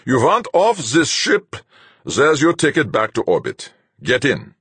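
A man's voice: medium pitch, wildly swooping pitch, unhurried, fairly bright tone, husky, no echo, voice pushed up, full character voice. A man voicing a cold, commanding male ship captain who snaps clipped, menacing orders.

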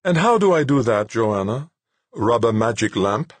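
A decadent, middle-aged male power broker from an audiobook novel, played by a male narrator. His voice is reedy and melodious, lifted high and very lively. He banters smoothly, unruffled and patiently mocking. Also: moderate pace, no echo. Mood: patient, teasing.

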